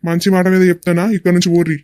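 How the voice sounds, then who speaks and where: A man acting as an argumentative villager in a family drama. He has a high, narrow-ranged voice and a Telangana Telugu accent, and talks bluntly and defensively. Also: completely flat delivery, rapid-fire, darkish tone, no room sound, lightly acted. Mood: indignant. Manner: blunt, warning.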